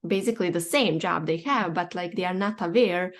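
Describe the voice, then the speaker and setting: A young woman from Bosnia hosting a podcast chat in English with a Bosnian accent, speaking haltingly with many fillers and restarts. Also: fairly low pitch. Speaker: podcast host. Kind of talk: casual online chat.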